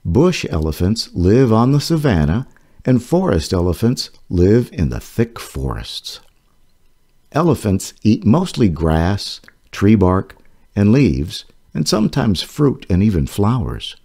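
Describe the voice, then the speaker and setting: A man reading his own children's picture book aloud, in a gentle storytelling manner with a lively rise and fall. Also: fairly low pitch, takes it slowly, gravelly. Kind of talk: slow children's read-aloud. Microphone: voice-over over book pages.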